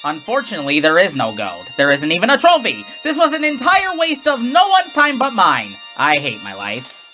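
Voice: mid-range voice, wildly swooping pitch, normal speed, pushed and bright, soft background music, voice pushed down. A narrator voicing a boastful, whiny cartoon boy with a dramatic, grumbling manner. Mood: bitter, deadpan.

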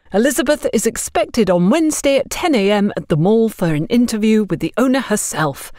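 A woman's voice: medium pitch, a little mellow, dry recording, clean studio sound, natural voice. A woman voicing an overbearing, gossipy, smugly condescending woman in an audio story, talking down to others in an effusive way. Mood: self-important. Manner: bossy, effusive, talks down to others.

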